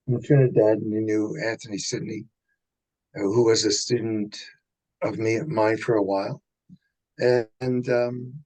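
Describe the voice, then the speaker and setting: An older man, a senior martial arts teacher, chatting in a plain-spoken, measured way. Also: on the low side, mellow, slightly rough, low-quality recording. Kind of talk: casual chat.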